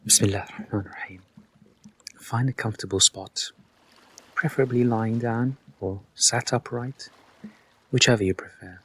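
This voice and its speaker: A man leading a guided meditation with soft, breathy delivery, speaking gently and reassuringly to the listener in unhurried instructions. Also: medium pitch, poor audio.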